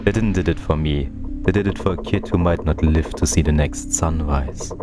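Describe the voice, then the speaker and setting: A man reading as a very deep, melancholy twin. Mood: tender, melancholy. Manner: confessional, measured, pausing. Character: introspective, melancholy nameless twin narrating a visual novel. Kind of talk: scripted narration. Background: loud game music.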